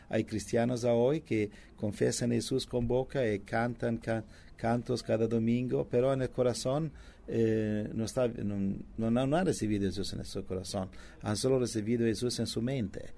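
A man who preaches mainly in English and Italian, teaching from the Bible in halting second-language with frequent restarts and fillers. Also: medium pitch, vocal fry, mellow. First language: not Spanish; preaches in English and Italian. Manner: halting non-native Spanish with many restarts and fillers.

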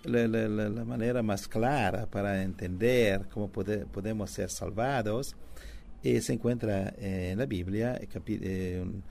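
A man who preaches mainly in English and Italian, teaching from the Bible in halting second-language with frequent restarts and fillers. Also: fairly low pitch, fairly even intonation, creaky, a little mellow, a little hiss or hum. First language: not Spanish; preaches in English and Italian. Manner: halting non-native Spanish with many restarts and fillers.

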